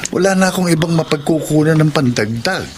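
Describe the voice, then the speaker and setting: An actor playing a discouraged, stubborn young husband in a radio drama, complaining in a resigned way. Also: male voice, on the high side, lively intonation, a little fast, background noise, close to an everyday voice. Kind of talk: Tagalog radio drama acting.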